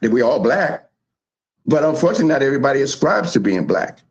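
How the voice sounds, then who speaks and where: A man from the South Bronx, telling history in animated, emphatic casual chat as a show host. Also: medium pitch, fast talker, highs cut off. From South Bronx, New York.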